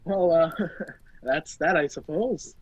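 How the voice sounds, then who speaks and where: A performer playing a flustered, polite gentleman on the run in an amateur radio play, halting and exclamatory, over a muffled video call. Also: deep voice, no echo. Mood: uneasy.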